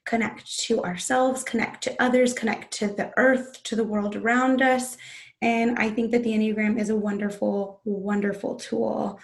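Hoarse, raspy woman hosting a podcast. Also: on the high side, flat delivery, normal speed. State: hoarse from a cold. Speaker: podcast host. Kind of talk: podcast interview.

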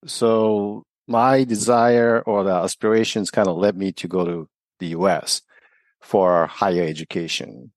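Low-voiced Japanese-accented man, halting and reflective. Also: a little mellow, dry recording. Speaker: podcast guest. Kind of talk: casual interview conversation.

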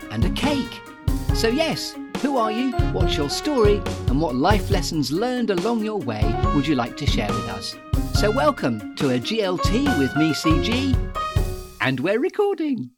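A man's voice: high voice, wildly swooping pitch, unhurried, loud music behind the voice. A man hosting a podcast interview in an effusive, chatty way, with wordy, meandering asides. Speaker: podcast host.

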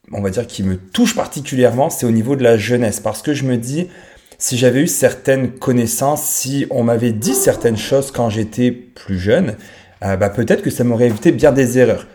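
French-accented man in his thirties, podcast host, mellow tone, mid pitch, talks straight to the listener. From France. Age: 30s. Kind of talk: casual podcast monologue.

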